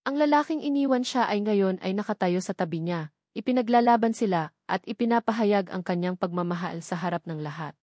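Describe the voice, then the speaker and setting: A woman's voice: mid-range voice, animated, a little fast, a little mellow, dry recording, clean studio sound. A woman reading a scripted, dramatic love story as its narrator.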